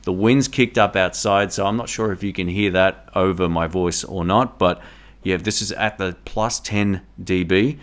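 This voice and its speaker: A man talks through a product review in a relaxed, enthusiastic way. He speaks into a tabletop condenser microphone in a room at home, explaining each step as he goes. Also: deep voice, moderate pace. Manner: speaks at varying loudness for gain tests. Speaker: tech reviewer. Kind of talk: casual product review to camera. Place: home room with a fridge nearby.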